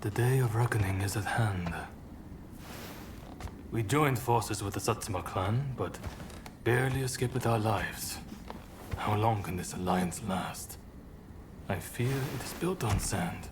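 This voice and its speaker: An actor playing a serious, shrewd and wary rebel leader in a video game, speaking gravely and deliberately. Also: male voice, on the low side, background noise, lightly acted. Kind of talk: dramatic video game cutscene acting.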